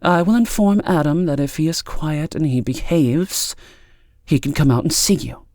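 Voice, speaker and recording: A man's voice: a little high, expressive, moderate pace, a little mellow, dry recording, studio quality, pitched above the performer's own voice. Man voicing a cold, stern, condescending woman. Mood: stern, dismissive. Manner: clipped, impatient, condescending.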